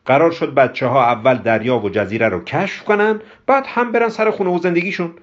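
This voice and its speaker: A man voicing a boisterous, bossy, jovial storybook character who speaks loudly and emphatically, on a dull recording. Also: on the high side, voice pushed up, close to an everyday voice. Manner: loud, emphatic, swings into exaggerated formality. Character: boisterous, bossy, jovial man, self-appointed spiritual guide. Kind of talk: acted audiobook storytelling.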